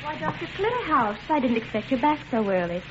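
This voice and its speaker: An actress playing a dutiful, polite and earnest nurse in 1940s radio acting style. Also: female voice, high-pitched, sing-song, fairly quick. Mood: polite, curious. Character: dutiful female nurse, alert, polite, easily shocked. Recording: muffled, sizzling old radio broadcast noise.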